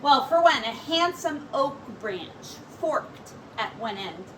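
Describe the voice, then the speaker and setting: A woman voicing a gentle, earnest female hat-maker in a children's story, with expressive, drawn-out phrases. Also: high voice, far from the mic, a little hiss or hum, exaggerated voice, low-quality recording. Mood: worried.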